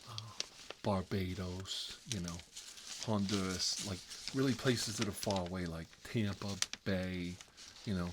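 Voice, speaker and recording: A man playing a fussy, gossipy bachelor uncle in an improvised comedic ASMR roleplay, rambling and confiding in a prissy, halting way. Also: low-pitched, noisy background.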